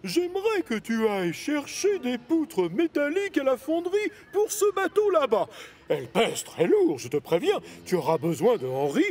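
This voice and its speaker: A man's voice: extremely high pitch, wildly swooping pitch, normal speed, a little mellow, full character voice. A man playing a bossy, authoritative yet jovial railway controller in a children's cartoon. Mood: instructing. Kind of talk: French children's cartoon voice acting.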